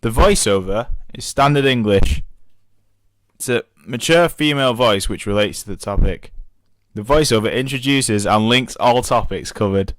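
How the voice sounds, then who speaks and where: A young man, a media student, reading out an evaluation commentary on his documentary, steady with small restarts. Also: mid-range voice, moderate pace, dry recording, low-quality recording.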